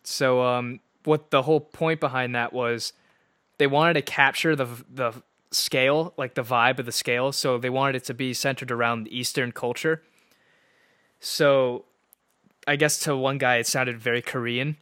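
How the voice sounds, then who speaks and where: A man's voice: mid-range voice, fairly even intonation, normal speed, pushed and bright, dry recording, clean studio sound. A young man co-hosting a podcast, chatting casually about music, rambling with lots of "like" and laughing easily. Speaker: podcast co-host.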